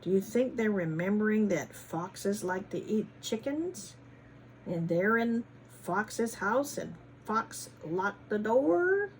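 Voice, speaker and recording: Lady reading a kids story slowly, teacher style w little asides and questions, noisy background. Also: mid-range voice, big pitch swings. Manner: teacherly, asking listeners questions, dramatic emphasis. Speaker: children's story reader, former teacher. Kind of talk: animated picture-book read-aloud with chatty asides to children.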